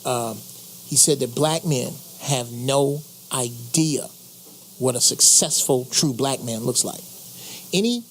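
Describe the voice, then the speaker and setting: Male panelist, animated storytelling, faint background hiss. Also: medium pitch, takes it slowly, low-quality recording. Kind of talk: talk show discussion.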